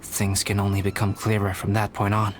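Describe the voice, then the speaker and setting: A man playing a calm, analytical young alchemist knight in a video game, speaking formally and precisely. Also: fairly low pitch, background noise, natural voice. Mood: composed, resolute. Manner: measured, formal, precise, detached.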